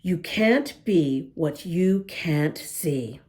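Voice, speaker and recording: A middle-aged woman reading a picture book aloud to children, gentle and unhurried, stopping to comment on the pictures. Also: deep voice, animated. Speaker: children's story reader.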